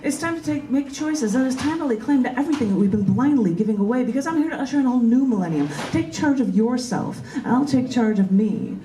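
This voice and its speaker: A woman's voice: on the high side, expressive, quick pace, few pauses, dark tone, a little hiss or hum. A woman reading her own poetry live at an open mic in a large indoor bookstore, in a spoken-word style. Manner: emphatic, declamatory, repetitive rhythmic delivery. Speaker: poet reading own work. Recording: roomy, echoing live recording in bookstore.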